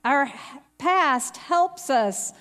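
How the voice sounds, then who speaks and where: A woman leading a church youth group discussion, talking slowly and reflectively with a few fillers. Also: sits high, lively intonation, bright, forceful tone, long reverb tail.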